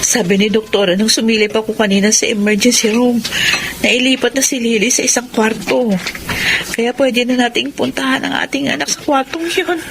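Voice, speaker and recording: A woman's voice: fairly high pitch, fairly even intonation, normal speed, gravelly. An actress playing a humble, remorseful young wife and mother in an earnest Tagalog radio drama style. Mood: gentle.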